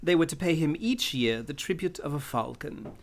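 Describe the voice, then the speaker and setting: An actor playing a genteel, scheming crime boss in a radio play, pompous and wordy with a gravelly voice. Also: male voice, on the high side, lively intonation, normal speed. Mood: courtly, expansive. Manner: courtly, verbose, pompous. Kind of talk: old-time radio play acting.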